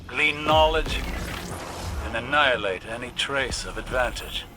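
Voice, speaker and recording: A male actor as a weary veteran warrior from a video game, solemn and resolute. He speaks very slowly and deliberately, with a poetic weight, over loud music. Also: medium pitch, animated, lightly acted. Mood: solemn, reflective. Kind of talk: dramatic video game monologue.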